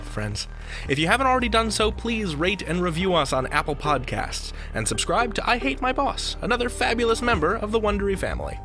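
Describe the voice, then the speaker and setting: A man's voice: a little high, a bit bright. A man hosting a podcast in a scripted, conversational storytelling style, playful and theatrical in his emphasis. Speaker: podcast host. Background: music bed.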